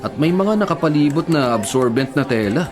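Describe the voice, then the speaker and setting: A man playing a stern, blunt police investigator with a Filipino accent, speaking deliberately in a radio drama. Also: fairly high pitch, expressive, close to an everyday voice. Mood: grave, blunt. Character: stern, matter-of-fact adult male police investigator. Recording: dramatic music behind. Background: music.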